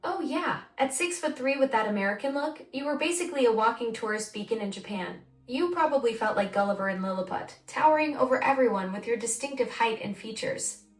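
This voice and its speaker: A woman's voice: fairly high pitch, lively intonation, brisk, far from the mic. A synthetic woman's voice acting as an AI assistant in casual conversation, eager and full of praise. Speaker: AI voice assistant co-host. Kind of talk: conversational chat. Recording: roomy voice from off the mic.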